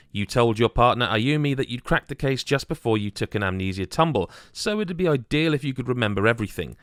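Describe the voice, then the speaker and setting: A man's voice: mid-range voice, no echo. A man reading a scripted video game review as the reviewer.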